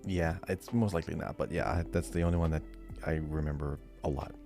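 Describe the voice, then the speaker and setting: Man, low pitch, hesitant, thinking aloud, casual podcast contestant, music in background. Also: steady tone, fairly quick, creaky, a little mellow. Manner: hesitant, musing.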